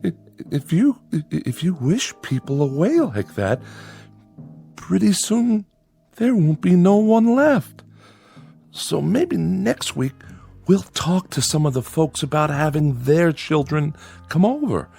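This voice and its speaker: An actor playing an anxious middle-aged small-town father in a radio drama, folksy and halting, placating his feared son with forced cheer. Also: on the high side, sing-song, gravelly, dark tone, breathy edge, soft background music, heavily acted. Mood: nervous, placating. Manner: folksy, rustic grammar.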